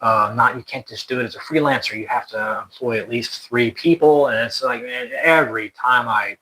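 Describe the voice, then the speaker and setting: Halting American man, bright voice. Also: medium pitch, a little hiss or hum, poor audio. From United States. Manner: rambling, halting, many fillers and restarts. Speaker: interviewee. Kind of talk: casual conversation.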